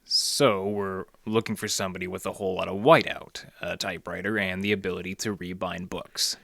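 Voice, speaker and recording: Low deadpan hard-boiled detective, acted by a man. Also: brisk, gravelly, no echo, full character voice. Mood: probing. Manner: deadpan noir wisecracking. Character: wry, hard-boiled male library detective. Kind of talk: 1940s radio detective acting, narration and dialogue.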